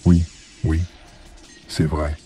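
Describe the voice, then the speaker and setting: A man's voice: rumbling low, little pitch movement, very slow, mellow, faint music behind, exaggerated voice. A man voicing an earnest, reflective father in a cartoon dialogue. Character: agreeing, questioning male friend, a weary father. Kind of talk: animated film dialogue.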